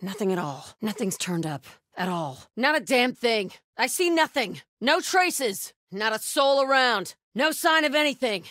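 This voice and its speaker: A woman's voice: on the high side, big pitch swings, unhurried, dry recording, clean studio sound. An actress playing a fierce, devout female cult soldier in a video game, calling out short clipped combat lines. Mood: terse, watchful. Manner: short clipped callouts, from hushed to shouted. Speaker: video game enemy character.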